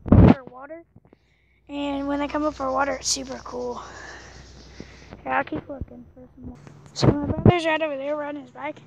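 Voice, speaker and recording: A woman chatting casually in an outdoor vlog, filming by a pool on a handheld phone, loose with many fillers and dropping quiet while she searches. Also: sits high, fairly even intonation, normal speed, gravelly, pauses often, highs cut off, very noisy. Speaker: vlogger. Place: outdoors by an abandoned pool on rural land. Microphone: handheld camera phone filming the water.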